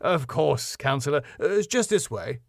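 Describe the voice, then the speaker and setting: A man's voice: a little high, expressive, normal speed, gravelly, no echo, clean studio sound, voice pushed up. A man voicing a flustered club owner in a sitcom, stammering, hesitant and eager to please. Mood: fawning. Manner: stammering, hesitant, over-apologetic. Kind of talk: sitcom script read aloud.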